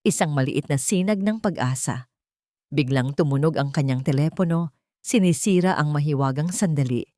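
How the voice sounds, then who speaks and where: Low-voiced woman narrating a dramatic story. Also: normal speed, mellow, dry recording, studio quality.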